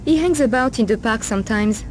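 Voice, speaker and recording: A woman's voice: on the high side, animated, brisk, a little hiss or hum, lightly acted. A woman playing a world-weary, streetwise Parisian streetwalker in a video game, knowing, familiar and sardonic. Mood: gossipy, knowing. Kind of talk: video game cutscene acting.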